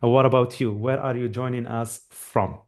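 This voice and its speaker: Man, 30s, from Morocco; upbeat webinar host, mid-pitched, chatty, lots of fillers, computer mic. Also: steady tone, normal speed, a little mellow. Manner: friendly, encouraging, with frequent fillers and restarts. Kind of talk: live online webinar presentation.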